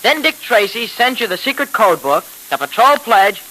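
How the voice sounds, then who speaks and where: Wide-eyed American kid sidekick, very bright, swooping, earnest, 1930s radio drama recording. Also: male voice, high-pitched, normal speed, exaggerated voice, poor audio. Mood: excited, proud. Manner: earnest, excitable, gee-whiz. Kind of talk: 1930s radio serial acting.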